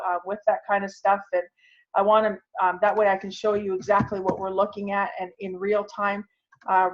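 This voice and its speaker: Casting: female online instructor, mid-range voice, informal and conversational, heard through a dull lo-fi video call. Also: mid-range voice. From Canada. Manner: conversational, thinking aloud as she demonstrates. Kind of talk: informal tutorial with screen sharing. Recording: muffled, lo-fi video call audio.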